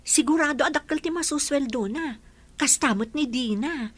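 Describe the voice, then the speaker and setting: A woman's voice: sits high, moderate pace, a bit bright. A woman acting a chatty, envious villager back from abroad, with an Ilocano accent, gushing and complaining in a radio comedy drama. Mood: wistful, impressed.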